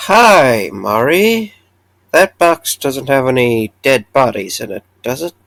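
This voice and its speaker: A man's voice: mid-range voice, expressive, slow pace, vocal fry, fairly bright tone, dry recording, full character voice. A man playing a jumpy, apologetic former security guard in a fan audio drama, hesitant and stammering. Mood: curious, surprised.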